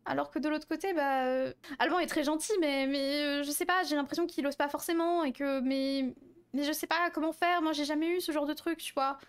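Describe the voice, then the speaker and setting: A woman's voice: high voice, fairly even intonation, brisk. A woman roleplaying a character in casual improvised chat, speaking in youthful, colloquial slang.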